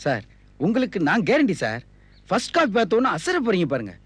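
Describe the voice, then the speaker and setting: High, husky, ingratiating production manager. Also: male voice, wildly swooping pitch, fast talker, no echo, exaggerated voice. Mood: reassuring. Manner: ingratiating, persuasive. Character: eager, ingratiating male film production manager. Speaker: actor in a TV serial.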